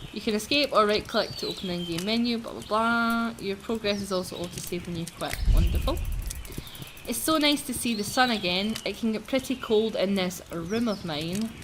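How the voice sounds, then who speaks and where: A woman hosting a let's play, chatting casually and reading game text aloud in a chatty, giggly manner. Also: medium pitch. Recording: clear background game noise.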